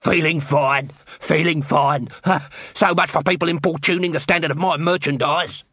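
A man as a shifty street hawker, husky. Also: a little high, fairly even intonation, moderate pace, sharp tone, no room sound, pitched above the performer's own voice. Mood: queasy bluster. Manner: evasive, rambling. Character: shifty, gossiping, queasy male street sausage seller.

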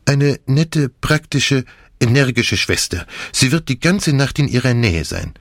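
A man reading an audiobook, voicing a courteous, fatherly gentleman who speaks in a gentle, formal, florid way. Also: medium pitch, sing-song, unhurried, no room sound, clean studio sound, pitched above the performer's own voice. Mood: soothing, earnest. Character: courteous, fatherly foreign gentleman, earnest and theatrically humble. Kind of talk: audiobook dialogue.

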